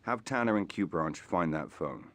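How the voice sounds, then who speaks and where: Deep-voiced, cool British secret agent. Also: male voice, lively intonation, moderate pace, fairly bright tone. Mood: resolute, businesslike. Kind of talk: video game cutscene acting.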